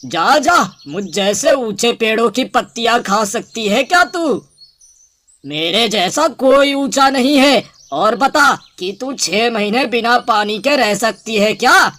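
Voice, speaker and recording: A woman's voice: fairly high pitch, animated, takes it slowly, a bit bright, dry recording. A woman voicing an arrogant, bullying male camel in a cartoon moral story, boastful and scornful. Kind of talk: animated animal moral story.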